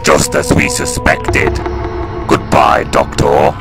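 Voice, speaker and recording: A man's voice: takes it slowly, lightly acted. A man voicing a hostile, boastful robotic alien war machine in a fan audio drama, with clipped, menacing delivery. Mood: cold, smug. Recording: music behind the voice.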